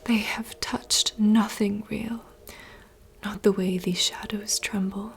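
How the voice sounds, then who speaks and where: A woman voicing a wistful young princess in a soft, slow, poetic whisper. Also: medium pitch, fairly even intonation, creaky, slight background noise. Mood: yearning. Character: wistful young princess longing for wild woods. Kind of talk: whispered monologue reading.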